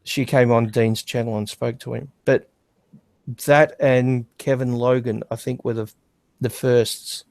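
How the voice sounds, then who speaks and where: A man hosting a casual online stream and talking bluntly. He often repeats words as he begins a sentence. His voice sits at an ordinary mid pitch, and it sounds dry and close. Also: little pitch movement, unhurried, darkish tone, dry recording. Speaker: stream host.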